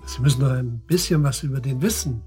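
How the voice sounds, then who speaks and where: An actor playing a terse, sceptical police detective who puts curt, probing questions in a radio drama. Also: male voice, mid-range voice, fairly quick, warm tone, soft background music, close to an everyday voice. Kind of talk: German radio drama acting, interrogation dialogue.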